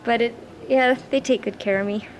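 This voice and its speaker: A young Canadian woman with a young-sounding voice, the bassist of a rock band, giving earnest, casual answers in an interview. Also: fairly even intonation, moderate pace, low-quality recording. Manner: earnest, laughs easily. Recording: raw camcorder audio with background noise.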